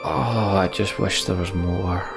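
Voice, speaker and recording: Deep, slow-talking man with gravelly voice. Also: fairly even intonation. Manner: halting, sighing, wistful. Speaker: let's play host. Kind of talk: casual game commentary, reflective. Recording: music behind the voice. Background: game soundtrack music.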